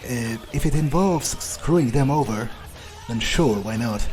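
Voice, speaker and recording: A man's voice: mid-range voice, lively intonation, measured pace, darkish tone. A man role-playing as a vampire in a game playthrough, thinking aloud in a hesitant, sometimes profane way. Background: faint game music.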